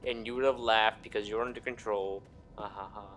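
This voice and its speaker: A man's voice: fairly low pitch, steady tone, a little fast, fairly bright tone, slight background noise. A man doing casual let's play commentary, profane and sarcastic with mock indignation. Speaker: gaming video player and commentator. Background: game music.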